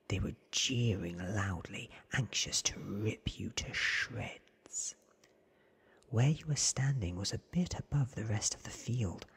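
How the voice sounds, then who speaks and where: A man reads as an earnest young storybook friend, awed by what he tells. The voice is an extremely breathy whisper, slow and drawn out, in close ASMR style. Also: medium pitch, exaggerated voice. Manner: hushed, drawn-out, earnest telling.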